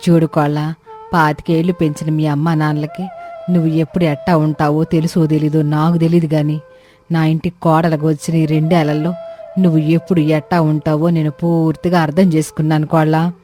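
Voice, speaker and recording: A woman's voice: sits low, level tone, a little fast, mellow, soft background music, lightly acted. A woman voicing a caring, wise older village mother-in-law in a story, consoling and plain-spoken.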